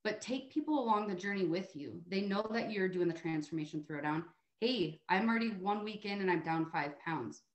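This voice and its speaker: An American woman giving a conversational motivational testimonial as a guest speaker, encouraging and emphatic. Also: lowish voice. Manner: encouraging, emphatic, often adds "right?". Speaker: guest speaker on a sales team call. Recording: roomy, echoey call audio.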